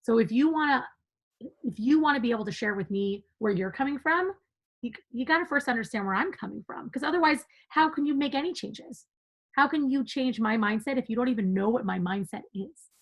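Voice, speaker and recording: A woman with a fairly high voice that rasps and crackles with fry, speaking as a podcast guest. She talks with passion and emphasis, pressing her points in relaxed conversation. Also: highs cut off. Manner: passionate, emphatic, quick to laugh. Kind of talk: conversational interview.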